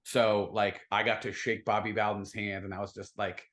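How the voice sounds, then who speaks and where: A man's voice: lowish voice, little pitch movement, normal speed, fairly bright tone. Emphatic man swapping casual football stories. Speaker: guest, football video production staffer. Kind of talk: casual sports chat, storytelling.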